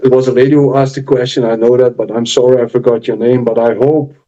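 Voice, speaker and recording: Dutch-accented man, mellow low-fi voice. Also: little pitch movement, muffled recording. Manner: halting, restarts, earnest promotional tone. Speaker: channel host and author. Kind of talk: casual live-stream chat.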